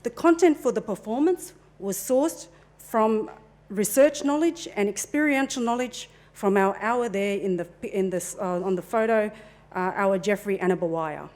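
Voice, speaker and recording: A middle-aged woman presenting at a forum into a handheld mic in a conference room, relaxed and chatty with asides. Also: medium pitch, moderate pace.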